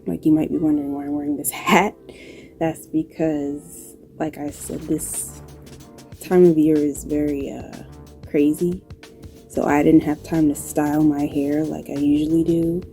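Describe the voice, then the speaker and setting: Low, mellow woman with New York accent. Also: flat delivery, measured pace, soft background music. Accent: New York with occasional Southern touches. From New York, United States. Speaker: video creator and graphic designer. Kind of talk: casual talk to camera answering questions.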